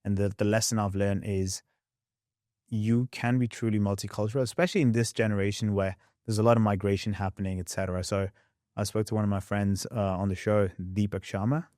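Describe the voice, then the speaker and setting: A young man with a mixed Australian and English accent, a podcast guest telling his story in a candid, animated way. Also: on the low side, no echo, studio quality. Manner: animated, quick storyteller who acts out past scenes.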